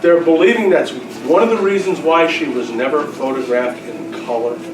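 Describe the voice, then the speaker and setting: A man's voice: a little high, normal speed, background noise. A man acting as quiz master, reading out questions live at a club luncheon. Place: indoor luncheon room with an audience. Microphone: distant microphone in the room. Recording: echoey room, distant mic, loud crowd. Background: loud crowd chatter.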